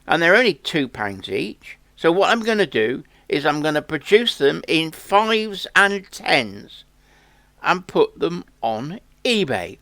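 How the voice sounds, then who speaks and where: A man's voice: a little high, sing-song, slow, bright, forceful tone. A man hosting a country sports channel, making an earnest, plain-spoken campaign appeal to viewers. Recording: echoey room.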